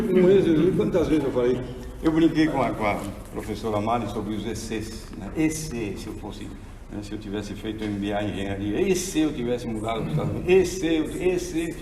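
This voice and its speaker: A man's voice: medium pitch, brisk, far from the mic, a little hiss or hum. Man in his sixties lecturing in an echoey hall. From Argentina. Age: 60s. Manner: animated, preacherly storytelling. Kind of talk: theology lecture to an audience.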